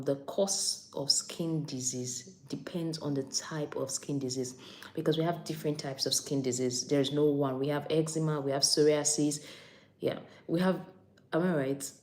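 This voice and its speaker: Woman from nigeria, low pitch, mellow voice, chatty livestream, repeats herself. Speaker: anesthetics and recovery practitioner. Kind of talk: live stream chat answering viewer questions. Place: on a break during night duty.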